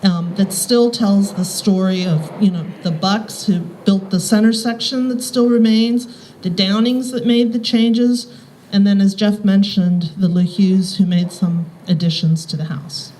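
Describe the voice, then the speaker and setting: A woman's voice: mid-range voice, steady tone, unhurried, dark tone. A woman speaking informally to visitors as an architectural historian, in a conversational manner at a live house tour. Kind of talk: informal public history talk. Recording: clearly noisy live event recording.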